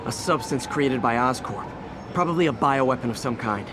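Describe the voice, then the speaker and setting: An actor playing a friendly, earnest young American superhero in a video game, talking in a casual, slightly awkward way. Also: male voice, mid-range voice, normal speed, noisy background, lightly acted. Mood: earnest, urgent. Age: young adult. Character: earnest, friendly young male superhero juggling everyday life.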